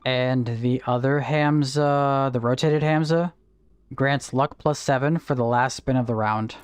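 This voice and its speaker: A man's voice: medium pitch, steady tone, measured pace, smooth, no echo. A young man chatting casually on a livestream, greeting viewers and explaining game items as he plays. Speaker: streamer. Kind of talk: casual livestream chat while gaming.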